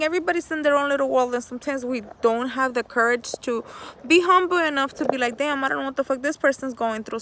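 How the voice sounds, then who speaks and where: Woman, 30s, high voice, earnest rambling self-help vlog, faint outdoor traffic noise. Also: fairly bright tone. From El Salvador. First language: Spanish. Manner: earnest, rambling, swears freely, laughs often. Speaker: vlogger. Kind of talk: casual motivational monologue. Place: outdoors in nature.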